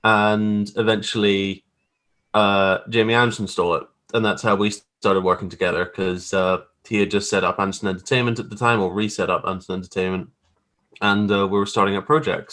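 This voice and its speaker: A Northern Irish man from Belfast chats informally as a podcast guest. His voice is bright and forward, a little low in pitch, and he rambles through his stories in a self-deprecating way. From Northern Ireland. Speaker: podcast guest, graphic artist. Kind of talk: casual chat, anecdotes.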